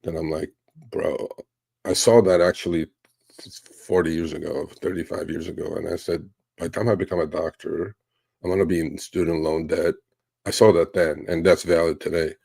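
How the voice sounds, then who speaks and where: Fifty-something guy from turkey, low gravelly voice, flat, rambles on a livestream q&a. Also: moderate pace, dry recording. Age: 50s. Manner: relaxed, rambling storytelling with many fillers. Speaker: livestream host. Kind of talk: casual livestream Q&A.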